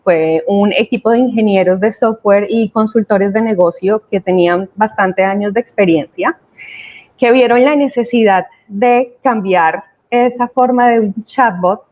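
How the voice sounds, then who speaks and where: Mid-pitched woman presenting, slightly dull audio. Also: unhurried. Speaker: company representative presenting. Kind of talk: conversational company presentation. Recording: dull lo-fi video-call audio.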